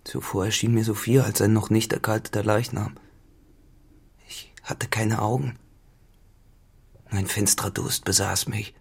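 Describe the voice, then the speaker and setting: An actor playing a cynical, dissolute farmhand turned tenant in a literary radio drama, grave and deliberate in manner. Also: male voice, fairly low pitch, flat delivery, vocal fry, husky, exaggerated voice. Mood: brooding, confessional.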